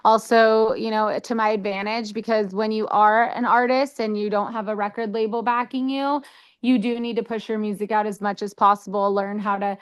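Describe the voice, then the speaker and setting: A young woman from the United States talks casually in an interview. Her voice is smooth and clear, and her chat is eager and full of little fillers. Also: medium pitch, flat delivery, moderate pace. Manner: enthusiastic, chatty with many fillers. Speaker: interview guest.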